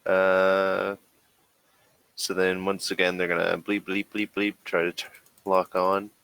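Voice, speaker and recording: A man running a tabletop game as game master, narrating casually and haltingly as he thinks aloud. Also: low voice, completely flat delivery, gravelly, fairly bright tone, clean tone, low-quality recording.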